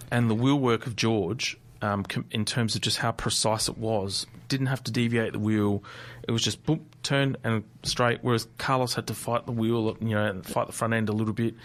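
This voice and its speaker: A lively man in his thirties co-hosts a radio motorsport show in a studio. He talks in a chatty, animated way and laughs easily. His voice sits a little low. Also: normal speed. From Australia. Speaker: co-host. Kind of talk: casual motorsport chat.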